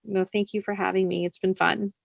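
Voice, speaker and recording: A woman's voice: medium pitch, flat delivery, fairly quick, creaky, dry recording, muffled recording. Woman author chatting in a dry recording. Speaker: interview guest, author. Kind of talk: casual interview talk.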